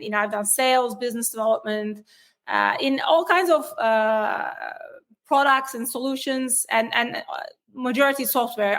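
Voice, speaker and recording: A woman's voice: fairly high pitch, a bit bright. A woman from Turkey with a Turkish accent, answering interview questions on cybersecurity in an explanatory way, with frequent fillers and restarts. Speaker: cybersecurity executive guest.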